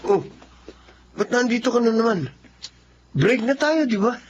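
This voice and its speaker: Young filipino ex bf in radio drama, high swingy voice, nagging but caring, music behind. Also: male voice, expressive, a little slow, dull audio. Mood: exasperated. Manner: colloquial, nagging.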